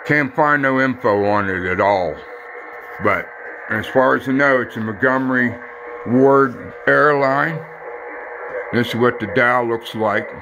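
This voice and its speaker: A plain-spoken man gives a casual home demonstration. His voice is gravelly with plenty of fry, and he talks slowly and haltingly, like a hobbyist filming by hand. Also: mid-range voice, noisy background. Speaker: hobbyist radio collector. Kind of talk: casual handheld video demonstration. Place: room in a house. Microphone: handheld phone or camera behind the lens.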